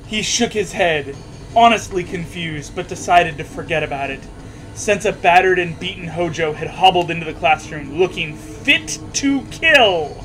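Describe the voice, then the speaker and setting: A man's voice: high voice, animated, normal speed, a bit bright, faint music behind, poor audio. A man hosting a mocking read-aloud, sarcastic, slipping between reading and casual banter and voicing punctuation marks. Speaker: host reading fan fiction aloud.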